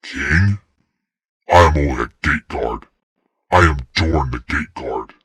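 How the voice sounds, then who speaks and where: Man voicing a hulking giant brute with a very deep voice. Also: slow pace, fairly bright tone, breathy edge, dry recording, full character voice. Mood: scornful pride. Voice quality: very deep, heavy voice. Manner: boastful, taunting. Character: hulking brutish giant gate guard, gory, mocking, proud.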